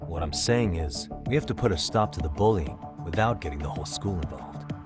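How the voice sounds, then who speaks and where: Deep-voiced, confident male detective. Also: expressive, fairly quick. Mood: firm, assured. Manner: casual, plainspoken, assured. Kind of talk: dramatic video game dialogue. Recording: music behind the voice.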